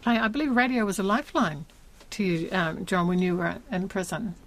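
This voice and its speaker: Gently probing New woman interviewer. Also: medium pitch, a little fast, rough recording. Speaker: interviewer, host. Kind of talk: conversational interview.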